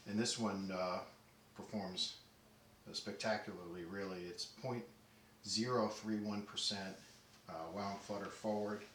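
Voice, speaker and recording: Male technician, lowish voice, methodical and halting demo delivery, recorded away from the mic in a roomy space. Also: a bit bright. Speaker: audio deck restorer demonstrating work. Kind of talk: unscripted technical walkthrough. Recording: roomy, distant mic, faint noise.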